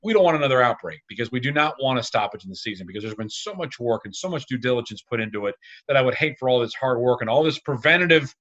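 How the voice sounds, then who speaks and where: A man's voice: medium pitch, lively intonation, fast, fairly bright tone, dull audio. A man speaking as a guest announcer in a casual sports discussion, talkative and emphatic. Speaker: radio and arena announcer guest.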